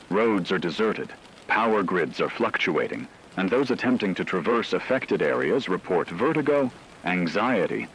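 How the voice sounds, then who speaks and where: A man playing a serious, measured news anchor in a scripted emergency radio broadcast drama. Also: low voice, a bit bright, a little hiss or hum, rough recording. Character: grave male radio news anchor reporting a supernatural fog crisis.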